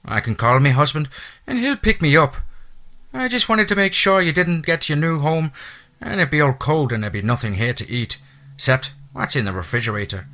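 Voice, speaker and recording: A man's voice: fairly high pitch, big pitch swings, moderate pace, sharp tone, pitched above the performer's own voice, exaggerated voice. A man voicing a chatty middle-aged Irish housekeeper woman with a lilting, motherly manner. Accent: Irish (put on).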